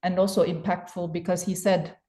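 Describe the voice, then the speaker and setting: A woman from Germany speaks as a panelist, feeling her way through ideas with frequent restarts. Her voice sits a little low. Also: flat delivery, a little fast, mellow. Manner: thoughtful, hesitant, many restarts. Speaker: panel guest. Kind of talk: panel discussion.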